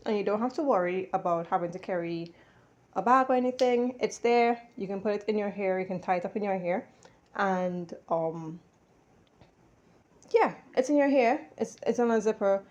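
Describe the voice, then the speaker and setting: A woman's voice: medium pitch, moderate pace, lo-fi sound. A woman dressmaker chats to her tripod camera in her home studio. She rambles easily, full of fillers and restarts. Her voice sounds a little stuffy, as if she has a cold. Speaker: vlogger and dressmaker. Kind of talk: casual vlog chat to camera.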